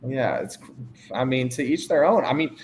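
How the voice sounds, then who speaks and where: A young man chatting casually on a speed date, relaxed and agreeable, often repeating himself. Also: medium pitch, moderate pace, a little hiss or hum. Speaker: dating show lead.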